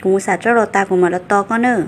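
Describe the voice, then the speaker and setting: A woman's voice: medium pitch, fairly even intonation, quick pace, slight background noise, lightly acted. A woman playing a female character in a folk-tale cartoon about a family. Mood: conversational.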